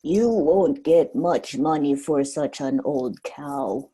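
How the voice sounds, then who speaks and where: A woman voicing a sly old man in a storybook, speaking in a drawn-out, enticing way. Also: low-pitched, mellow, breathy edge, voice pushed down, exaggerated voice. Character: sly old man offering magic beans.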